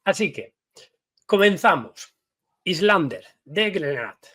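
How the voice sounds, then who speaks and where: Male host, higher voice, unhurried delivery, reads a list in short bursts. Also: sing-song, a bit bright, slightly rough. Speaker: podcast host. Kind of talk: casual podcast chat.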